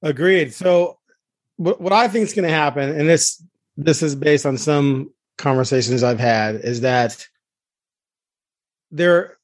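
American man, sports podcast host, slightly high pitch, blunt, emphatic, opinionated, dry close recording. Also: a little slow, pauses often, dry recording.

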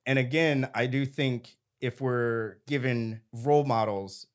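A man in his twenties from San Diego, co-hosting a podcast discussion in a measured, reflective way. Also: medium pitch. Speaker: podcast co-host. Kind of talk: casual discussion.

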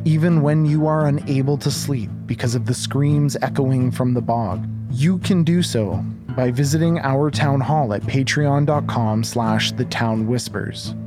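A male horror host reads scripted material in a mid-pitched voice. His delivery is theatrical, with an ominous politeness. Music plays softly behind him. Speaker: horror podcast narrator and host.

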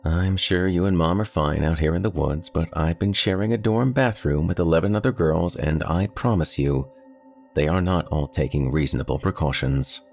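A man's voice: low voice, normal speed, vocal fry, a little mellow, soft background music. A man voicing a young college-age daughter who answers patiently and a bit pointedly. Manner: patient, lightly sardonic.

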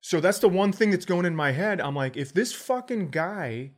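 A man's voice: high voice, animated, moderate pace. An American man co-hosting a comedy podcast, telling casual stories in a profane, joking, storytelling manner.

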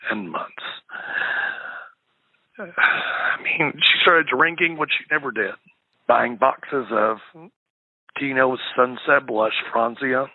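Young guy, husky raspy gravelly voice, sounds like a phone call, dry. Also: mid-range voice, no echo. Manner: emotional, voice breaking while recalling. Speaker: interviewee, victim's stepson. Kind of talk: recorded interview clip. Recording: tinny phone-line audio.

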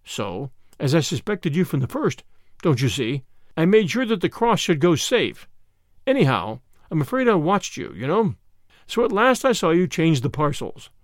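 A man's voice: fairly high pitch, big pitch swings, moderate pace, dry recording, studio quality. A man voicing a small, mild, shrewd country priest in a storybook, speaking simply with a timid, apologetic manner.

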